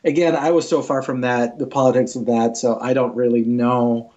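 A man's voice: medium pitch, little pitch movement, moderate pace, a little mellow, muffled recording. A man from Ukraine whose first language is Russian, a guest telling his life story in an interview in an earnest, reflective way. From Ukraine, former Soviet Union. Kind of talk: personal storytelling in conversation.

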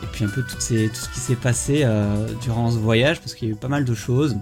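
A man hosting a casual travel podcast, talking in an easy, chatty way with lots of "euh" and self-corrections. His voice sits at a middle pitch, and faint music plays behind his intro. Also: a little fast. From France. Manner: chatty, many fillers and restarts. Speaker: podcast host.

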